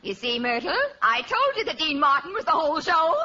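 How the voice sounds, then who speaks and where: A woman's voice: sits high, sing-song, brisk, bright, forceful tone, exaggerated voice. A woman playing a gushing, tactless, star-struck show fan in 1940s radio comedy. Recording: dull, noisy old radio recording.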